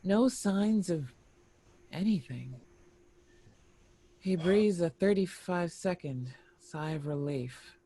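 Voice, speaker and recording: A narrator reading screenplay action and scene headings in a deliberate, expressive storytelling manner. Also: mid-range voice, a little hiss or hum. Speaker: narrator reading stage directions.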